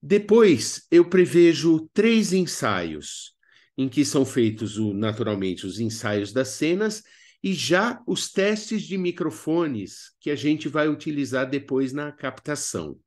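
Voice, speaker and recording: Brazilian man teaching in expressive phrases. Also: a little high, slow pace. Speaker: workshop teacher. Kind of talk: instructional video narration.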